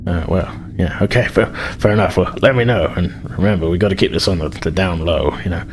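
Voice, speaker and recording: A man running a tabletop game voices a shifty, cautious sci-fi ship's officer. He speaks low, in hesitant, conspiratorial phrases. Noise is clearly audible behind him. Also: brisk. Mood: furtive, cautious. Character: shifty, cautious male starship officer goading a crewmate.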